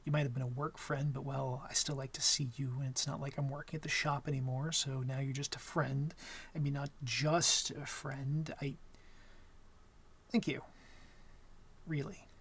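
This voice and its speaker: A man playing a shy, awkward young vampire in an intimate ASMR roleplay, gentle and smitten, speaking softly and hesitantly with stammers and apologies. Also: medium pitch, flat delivery, normal speed, breathy edge. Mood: tender, shy.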